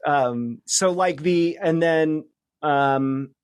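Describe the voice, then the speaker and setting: A middle-aged man speaking as a guest in a casual interview, reflective and understated, with halting phrasing. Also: a little high, clean tone, dry recording, clean studio sound. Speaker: podcast guest, media professional.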